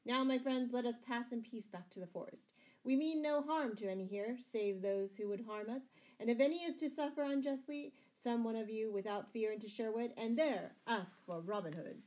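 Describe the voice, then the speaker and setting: A woman's voice: a little high, normal speed, a little mellow, voice pushed up, natural voice, rough recording. A woman reading a storybook, voicing a bold, gallant male outlaw leader in a declamatory manner. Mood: confident.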